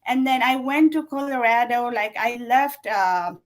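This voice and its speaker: A woman's voice: a little high, a little slow. A woman, a guest chatting casually and rambling with many fillers. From Nepal. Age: 40s. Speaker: guest runner. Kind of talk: casual interview chat.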